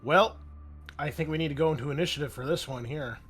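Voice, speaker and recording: A man's voice: a little high, fast, a bit bright, breathy edge. A man acting as game master, narrating and chatting in an informal, sweary way. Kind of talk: casual tabletop game narration and chat.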